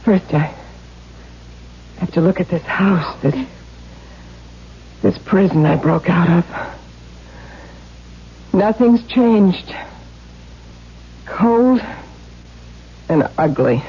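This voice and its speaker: A woman's voice: fairly low pitch, drawn-out words, pauses often, slight background noise. A woman playing a bold, bitter young runaway heiress and protective big sister, emphatic and theatrical in 1970s radio drama style. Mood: bitter, fond. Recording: dull 1970s radio broadcast.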